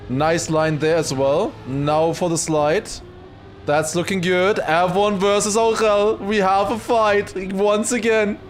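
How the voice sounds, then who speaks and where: Excitable high-pitched man commentating live esports. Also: slow, slight background noise. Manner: excitable, shouting at big moments. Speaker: esports watchparty streamer and commentator.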